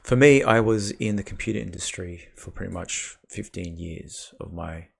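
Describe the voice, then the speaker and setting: A man's voice: low-pitched, normal speed, gravelly, breathy edge. An Australian man hosting a live call-in chat, asking probing questions and encouraging the caller.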